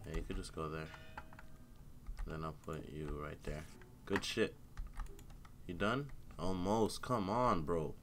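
A man's voice: low-pitched, slow, long pauses, a bit bright, slight background noise. A young man streaming a game on a headset mic, muttering and swearing as he plays, congested from a cold and a sore throat. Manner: laid-back, mutters to himself, swears often. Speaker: gaming streamer. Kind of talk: casual live gameplay commentary. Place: home room at a computer.

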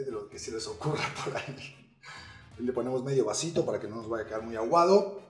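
Young male host, casual and upbeat cooking chat, middle pitch, roomy echoey room, soft music bed. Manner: upbeat, playful, addressing viewers directly. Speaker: actor presenting a recipe.

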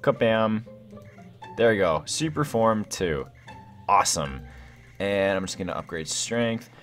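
A young man with a fairly low voice that jumps around in pitch as he plays. He talks in a casual, slangy way, thinking out loud and exclaiming over the game. Music plays behind him. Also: expressive, a little slow. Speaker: gaming video host. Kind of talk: excited casual gameplay commentary.

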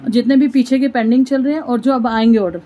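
A woman's voice: fairly high pitch, fairly even intonation, fast talker, mellow. A woman vlogging casually from a fabric shop in a market, chatting to her viewers and calling them guys. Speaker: shopping vlogger. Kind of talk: casual shopping vlog.

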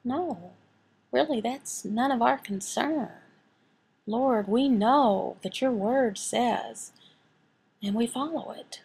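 Female sermon interpreter, slightly high voice, slow and deliberate phrase-by-phrase delivery. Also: creaky, darkish tone, breathy edge. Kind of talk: sermon and prayer, voiced from sign language.

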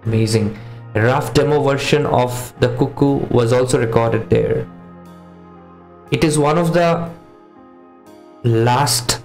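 A man's voice: medium pitch, roomy sound. Earnest male video host, music behind. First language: not English or Hindi, another mother tongue. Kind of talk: casual chat to camera. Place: small home studio room with posters. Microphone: studio condenser microphone on boom arm.

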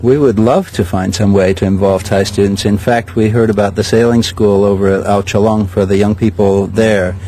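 An older man directing a sailing school, answering interview questions in a measured way with many pauses. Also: on the low side, steady tone, dark tone, a little hiss or hum, low-quality recording. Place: outdoors at a regatta.

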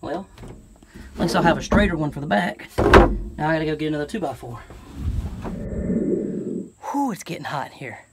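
A man's voice: on the high side, expressive, normal speed, creaky, long pauses, heavy background noise. Folksy Southern American man, thinking aloud. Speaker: truck camping vlogger. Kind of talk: casual DIY chat to camera. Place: outdoors and inside a truck bed by an open garage. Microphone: handheld phone at arm's length.

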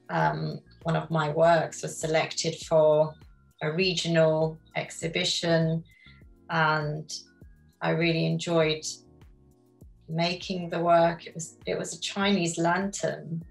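A middle-aged woman from London, answering interview questions in a measured, reflective way over a video call from her home studio. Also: low-pitched, vocal fry, low-quality recording. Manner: reflective, measured, with frequent ums. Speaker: guest artist. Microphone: video call webcam.

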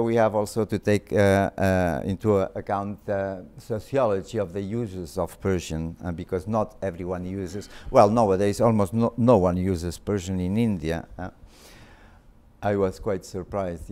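French-accented man giving a halting lecture. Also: low voice, fairly even intonation, dark tone. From Spain. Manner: halting, many fillers and restarts. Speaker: visiting art history professor. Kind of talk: academic lecture. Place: lecture hall.

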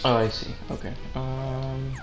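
A man in a roomy, echoing space talks casually over game music while streaming. His pitch is average, and he swears freely as he plays. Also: flat delivery, unhurried. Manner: casually profane. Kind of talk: casual gaming chat with co-host. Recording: roomy echo, music behind. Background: video game music.

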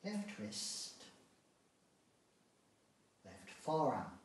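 Slow-paced man in a very echoey room. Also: medium pitch, slight background noise. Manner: calm, soothing, short phrases with long pauses. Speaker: yoga relaxation instructor. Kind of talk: guided meditation, calm step-by-step instruction. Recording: very echoey room, distant mic.

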